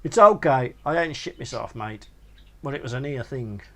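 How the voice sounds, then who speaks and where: A man's voice: medium pitch, lively intonation, normal speed, sharp tone. A man voicing a crude, cheerfully blunt laddish mate in a comic short story. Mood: relieved, cheerful.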